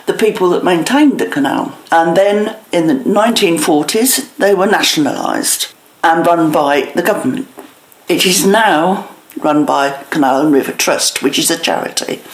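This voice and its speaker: Echoey, lower-pitched woman explaining plainly. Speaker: canal historian interviewee. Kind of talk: explanatory interview answers. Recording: echoey room with background noise.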